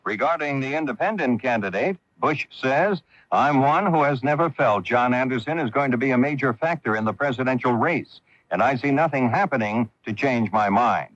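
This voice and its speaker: A man's voice: medium pitch, animated, normal speed, a bit bright. An American man giving a scripted news report on old radio. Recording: dull dry old radio broadcast.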